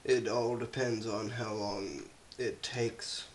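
A young man with a crackly voice from a cold, casually narrating a teardown video in a carpeted room, halting and clearing his throat often. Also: lowish voice, steady tone. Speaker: YouTube host.

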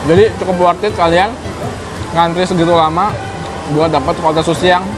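A man's voice: fairly high pitch, steady tone, fairly quick, noisy background. A young man casually reviewing food as a vlogger. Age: young adult. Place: mall food court.

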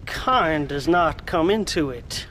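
An actor playing a bigoted, paranoid old American frontier shopkeeper in a video game, ranting with folksy righteousness. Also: male voice, on the high side, expressive, slow pace, sharp tone, slight background noise, exaggerated voice. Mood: suspicious. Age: older. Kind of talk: video game cutscene acting.

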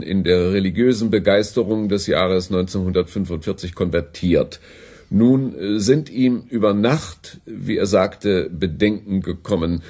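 An actor playing a brisk, pompous broadcasting director in a radio play, formal and patronizing. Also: male voice, low voice, warm tone, husky, heavily acted.

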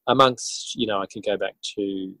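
A man answering as an interview guest in a casual, halting way, thinking aloud as he goes. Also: fairly low pitch, steady tone, pushed and bright, dry recording, poor audio. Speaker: interview guest, author and educator.

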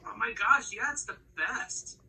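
A woman's voice: a little high, unhurried, creaky, sharp tone, breathy edge, rough recording. A woman talking casually with a small child in a bedroom, encouraging and prompting with questions. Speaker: parent chatting with a young child. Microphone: handheld phone, close by.

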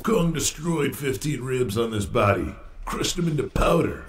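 An actor playing a tough, defiant veteran wrestler who delivers swaggering promos. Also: male voice, mid-range voice, animated, a little slow, roomy sound, heavy background noise, lightly acted. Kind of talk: audio drama acting.

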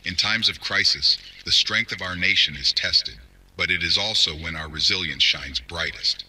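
Grave male statesman, acted by a man, very bright forceful low voice, oratorical national address. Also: normal speed, slightly rough, heavily acted, rough recording. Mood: solemn, resolute. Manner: solemn, oratorical. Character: grave, resolute male government defense minister addressing the nation. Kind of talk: formal scripted televised address.

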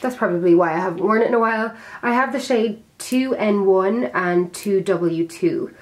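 Chatty young woman, slightly raspy. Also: mid-range voice. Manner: chatty, self-deprecating, talks while handling products. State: slightly raspy from being sick. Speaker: beauty vlogger. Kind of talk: casual chat to camera.

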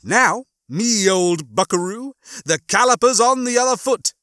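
A man's voice: sits high, expressive, bright, forceful tone, no echo, studio quality, voice pushed up, full character voice. A man voicing a smug, pompous, gloating hologram bunkmate in a theatrical, sneering way for a story reading. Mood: gleeful, pompous. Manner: theatrical, sneering, mock-cheerful, drawn-out words.

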